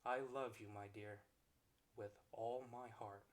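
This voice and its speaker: A man's voice: on the low side, fairly even intonation, a little slow, noisy background, close to an everyday voice. A man voicing a kind-hearted, accepting boyfriend in a romantic ASMR roleplay, speaking in a tender, earnest and reassuring way. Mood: tender, devoted. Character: kind-hearted, devoted, accepting boyfriend comforting his girlfriend.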